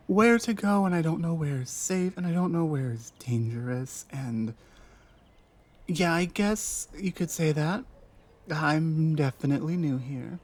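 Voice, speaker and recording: A woman playing a timid, wary orc outcast, speaking haltingly with stammered restarts in an ASMR roleplay drama. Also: low-pitched, darkish tone, exaggerated voice. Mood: nervous, pleading, then wary, hopeful.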